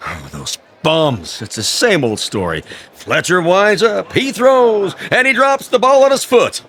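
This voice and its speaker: An actor playing a jaded, sardonic American baseball announcer with showy patter in a radio drama. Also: male voice, sits high, big pitch swings, a little slow, sharp tone, heavily acted. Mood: sour, sardonic. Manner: showy on air, sardonic grumbling off it. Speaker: sports announcer. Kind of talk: American radio drama acting, broadcast commentary.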